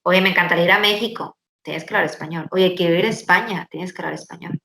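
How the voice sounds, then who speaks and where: A woman chats casually and tells animated stories, leaning on fillers as she goes. Her voice is bright, and the room around her is echoey. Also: fairly low pitch, quick pace, gravelly. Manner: animated storytelling, many fillers. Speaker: podcast host. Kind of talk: casual chat.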